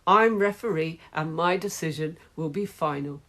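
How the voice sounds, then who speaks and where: A woman's voice: deep voice, normal speed, a bit bright. A woman reading a children's story, voicing a strict, stern female schoolteacher who speaks in a clipped, scolding way.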